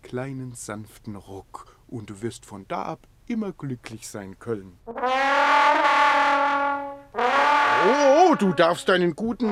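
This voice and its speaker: A man's voice: high voice, fairly bright tone, heavily acted. Timid man cooing in swooping baby talk. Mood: startled pleading. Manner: cooing baby talk with silly rhyming pet words. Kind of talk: theatrical radio play acting.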